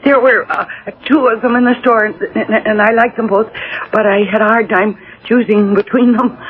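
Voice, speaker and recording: A woman's voice: fairly high pitch, steady tone, normal speed, close to an everyday voice. A woman acts out a moody young wife with a girlish, petulant streak, like a teenager. It is radio drama, heard through a tinny, narrow old broadcast. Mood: giddy, excited. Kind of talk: 1980 radio drama acting.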